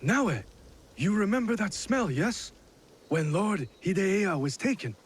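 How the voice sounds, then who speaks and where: Nervous nobleman, game drama: highish husky voice, deferential, hesitant. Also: very animated, measured pace, full character voice. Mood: uneasy, polite. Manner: hesitant, polite, defensive. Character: deferential, uneasy feudal Japanese lord. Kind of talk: video game cutscene dramatic acting.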